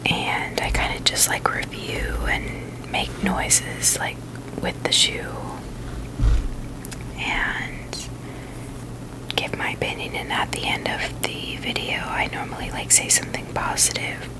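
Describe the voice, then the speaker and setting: A woman hosts an ASMR review in a steady whisper. She speaks very slowly and informally, with a halting, hesitant delivery. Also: rough recording. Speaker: video host reviewing sneakers.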